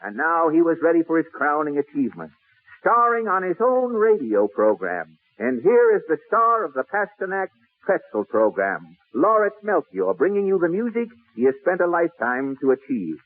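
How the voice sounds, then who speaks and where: A man's voice: a little high, very animated, normal speed. A man narrating 1940s radio comedy in a mock-grand, theatrical manner, on an old narrow-band radio recording. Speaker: comic announcer.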